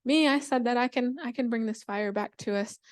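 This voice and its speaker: A woman voicing a tiny female water spider in a folktale, polite and halting but determined. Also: on the high side, close to an everyday voice.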